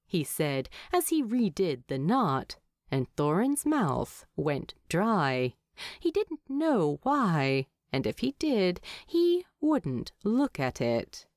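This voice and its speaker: A woman's voice: lowish voice, very animated, slow, a little mellow, dry recording, clean studio sound. A woman reading scripted fiction aloud as an audiobook narrator.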